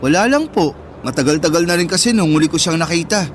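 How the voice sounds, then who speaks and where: A man's voice: on the high side, lively intonation, fast talker, slight background noise, lightly acted. A man playing a polite, affectionate young Filipino son in a radio drama, with a Filipino accent and a coaxing manner. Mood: sweet, coaxing. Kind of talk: Tagalog radio drama acting.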